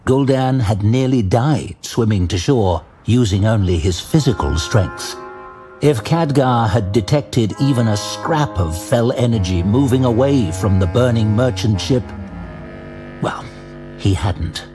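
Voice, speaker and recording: A man narrating a scripted fantasy tale dramatically, with a measured, grave storytelling manner. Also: mid-range voice, animated, music in the background.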